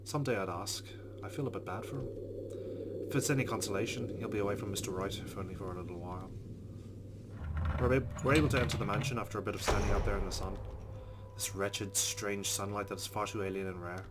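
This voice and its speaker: A man's voice: lowish voice, fairly even intonation, fast talker, heavy background noise. A man reading a game's narration aloud, adding wry asides. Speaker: let's play host. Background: game music.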